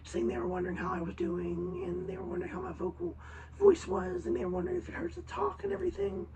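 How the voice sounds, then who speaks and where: Hoarse, gravelly young woman, very high voice, halting casual vlog delivery. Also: steady tone, mellow, lo-fi sound. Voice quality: raspy, hoarse after vocal surgery. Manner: halting, many ums and restarts. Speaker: vlogger. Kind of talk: casual personal update. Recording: slightly distant mic with faint hiss.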